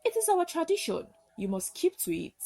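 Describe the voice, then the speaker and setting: A woman's voice: extremely high pitch, huge pitch swings, moderate pace, creaky, mellow, slight background noise, pitched above the performer's own voice, heavily acted. A woman voicing a stern, guilty husband who clings to tradition in a folktale, firm and unyielding.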